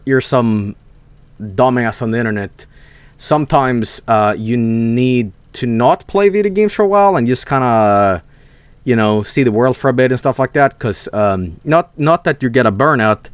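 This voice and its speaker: A young man chats through a loose, rambling story, swearing freely and tripping over his own restarts. His pitch leaps up and down as he talks, and the sound is close-miked and dry with no room around it. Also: clear, resonant tone, dry recording. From Sweden. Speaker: streamer. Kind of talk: casual storytelling stream.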